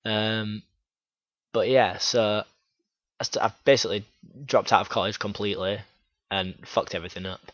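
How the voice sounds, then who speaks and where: A young man from Yorkshire telling his life story in a halting, frank vlog, full of fillers. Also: fairly low pitch, level tone, fairly bright tone, no echo, dull audio. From Yorkshire, England. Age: young adult. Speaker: YouTuber.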